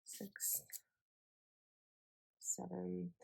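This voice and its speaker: Young woman vlogger, low voice, slightly froggy, rambling and casual. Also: completely flat delivery, extremely slow, gravelly, noisy background. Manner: chatty, rambling with likes and ums. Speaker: planner vlogger. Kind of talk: casual chat while decorating a planner.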